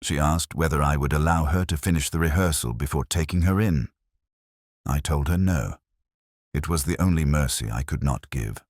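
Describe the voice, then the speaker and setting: A man narrating a scripted first-person detective story in a measured, solemn, literary manner. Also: a bass voice, no room sound, clean studio sound.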